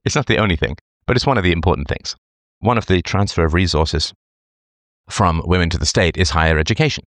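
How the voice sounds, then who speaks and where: A man's voice: low-pitched, quick pace, a bit bright, dry recording. A middle-aged man, hosting a talk show and commenting at length in a conversational monologue, often checking in with "right?". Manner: conversational, tag questions like "right?". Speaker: host reading a listener's letter.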